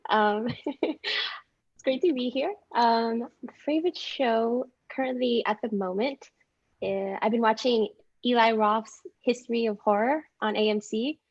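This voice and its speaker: Squeaky, gravelly woman on a lo-fi call. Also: unhurried, dry recording. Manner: giggly, hesitant with fillers. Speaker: guest, motion designer. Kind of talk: casual chat.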